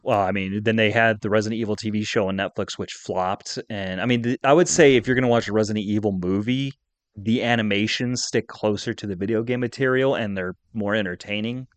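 A man's voice: fairly low pitch, steady tone, a little fast, no room sound. A man hosting a podcast in casual chat, giving emphatic, opinionated takes with plenty of enthusiasm. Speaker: podcast host.

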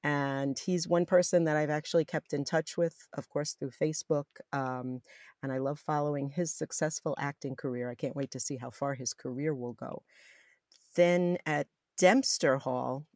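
A middle-aged woman with a deep voice for a woman, fondly and enthusiastically reminiscing in an interview. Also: steady tone, moderate pace, a little mellow, dry recording, clean studio sound. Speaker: interviewee, former college radio jazz announcer. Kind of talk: reminiscing in an oral history interview.

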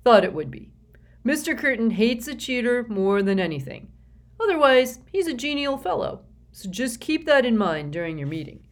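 A woman reading the part of a friendly, not very bright male executive who gives easygoing, confiding advice. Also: fairly high pitch, big pitch swings, normal speed, pitched above the performer's own voice. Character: dim-witted but friendly male executive.

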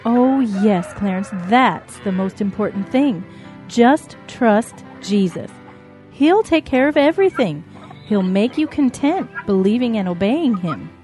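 A woman's voice: fairly high pitch, animated, a little slow, dark tone, soft background music. A woman playing a worried, loving mother cow, motherly and emotional, in a children's audio drama. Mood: tender.

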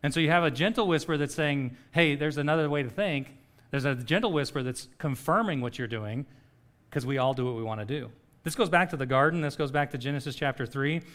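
A man's voice: on the high side, a little fast, a bit bright. A middle-aged man preaching a sermon in a conversational way, often checking in with "okay?". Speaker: pastor.

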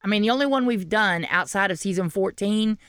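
A woman's voice: mid-range voice, a little fast, fairly bright tone, clear, resonant tone, no echo, studio quality. A woman hosting a podcast in casual chat, chatty and rambling, laughing often. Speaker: podcast host.

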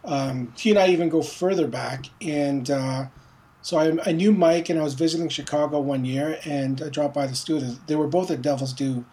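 A man's voice: on the high side, moderate pace, mellow. Rambling man with lots of fillers. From Philippines, raised in Montreal. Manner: loose, rambling, many fillers. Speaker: comic book writer. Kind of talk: casual interview.

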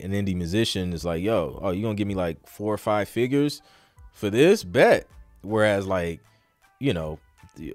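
Low-voiced man chatting casually over music. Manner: informal, slangy, rambling delivery that thinks aloud. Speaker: podcast host answering listener questions. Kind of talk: casual live Q&A chat.

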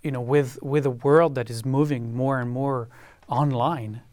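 A man from France with a French accent, a guest on a panel discussion who talks haltingly, with many fillers and repeated words. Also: mid-range voice, steady tone, darkish tone, dry recording.

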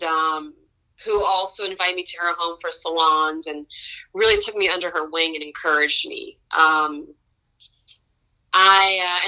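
A young adult woman, an opera singer, telling stories from her career in an interview with lively laughs and excited rises in pitch. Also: rough recording. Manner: animated storyteller, laughs often, pitch jumps up in excitement. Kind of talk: conversational interview storytelling.

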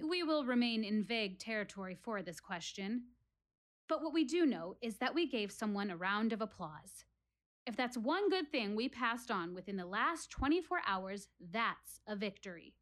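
A woman's voice: fairly high pitch, a bit bright. An actress playing an earnest, wry woman philosophizing to her theater audience in a dramatic one-woman monologue. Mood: earnest, encouraging. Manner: dramatic, rhetorical, addressing listeners directly.

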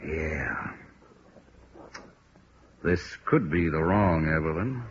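An actor playing a blunt, gruff American police detective with a hard edge in a 1950s radio drama. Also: male voice, rumbling low, big pitch swings, very slow, exaggerated voice. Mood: gruff, grumbling. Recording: muffled, noisy vintage radio recording.

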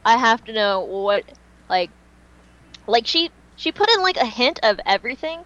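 The voice is a woman's, pitched fairly high, on a dull, lo-fi recording. She co-hosts a casual fan podcast, talking without a script. Her delivery is emphatic and a little theatrical, with repeated phrases for effect. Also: sing-song, normal speed, a bit bright. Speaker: podcast co-host and fan fiction writer.

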